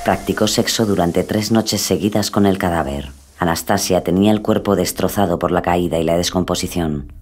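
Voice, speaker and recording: A man's voice: low-pitched, normal speed, darkish tone, a little hiss or hum. A man giving scripted, dramatic true-crime narration.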